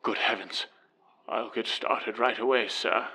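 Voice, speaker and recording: A man playing a loyal, deferential older butler in an audio drama, with a gravelly, husky voice. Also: lowish voice, steady tone, unhurried, bright, forceful tone, heavily acted, rough recording. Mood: concerned.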